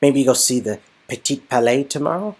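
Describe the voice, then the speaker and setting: A goofy young hero of a romance game voiced by a man. He is laid-back, jokey and affectionate. His voice is husky and swings up and down freely. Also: mid-range voice, animated, brisk, a little mellow. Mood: eager.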